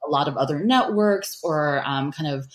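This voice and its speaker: A woman with an American accent speaks thoughtfully and reflectively as an interview guest, softening her points with small qualifiers. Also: low voice, normal speed. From born in Taiwan, raised in the United States from age five. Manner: thoughtful, hedging with kind of and sort of. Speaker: interview guest, public education professional.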